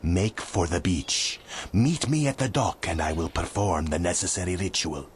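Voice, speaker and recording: A very low-voiced male actor as a grave vizier sorcerer in a video game cutscene. He speaks formally and ominously, like a theatrical court mage, with faint ambient noise behind him. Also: moderate pace, lightly acted. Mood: commanding, urgent.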